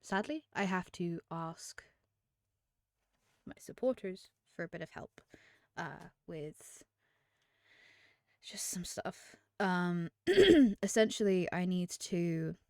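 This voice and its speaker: A woman's voice: fairly low pitch, lively intonation, takes it slowly, creaky, long pauses, no echo, clean studio sound. A woman, chatting candidly to camera as a streamer, often trailing off and restarting. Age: 20s. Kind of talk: casual chat to camera.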